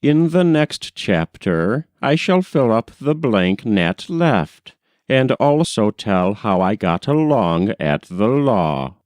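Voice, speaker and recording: A man reading a literary novel aloud as a scripted audiobook, in a measured, deliberate and expressive manner. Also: on the low side, no room sound. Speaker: audiobook reader.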